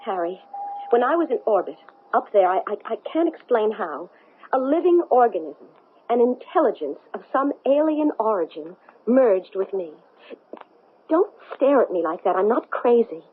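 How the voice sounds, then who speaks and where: An actress playing a troubled, intense woman astronaut in a 1970s radio drama, with a tense, halting, insistent delivery. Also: on the high side, sing-song, slightly rough. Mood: grave, insistent. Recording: tinny, noisy 1970s radio broadcast.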